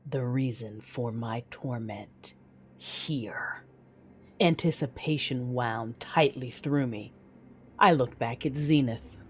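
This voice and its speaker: A woman's voice: rumbling low, slow pace, slight background noise. A woman playing a brooding, menacing Sith lord, narrating her own story in an audio drama. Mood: cold, menacing. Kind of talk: first-person audio drama narration and dialogue. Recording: dramatic music underneath.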